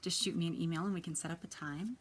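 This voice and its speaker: A woman in her thirties with a quiet, soft voice, chatting casually as she gives a tarot reading. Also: fairly low pitch, steady tone, fast, background noise. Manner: chatty, lots of ums and likes. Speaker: tarot reader.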